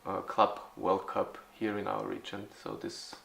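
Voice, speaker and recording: Man, 40s, low flat voice, answers haltingly with fillers, echoey room. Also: creaky. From Austria. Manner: halting, with frequent fillers and restarts. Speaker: youth football club organizer. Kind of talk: interview answers in English.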